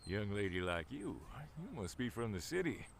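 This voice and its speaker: A man's voice: on the low side, big pitch swings, normal speed, creaky. An actor playing a folksy, flirtatious, prying rustic man in an audio drama. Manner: drawling, flirtatious, inquisitive. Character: folksy, forward middle-aged rustic male stranger.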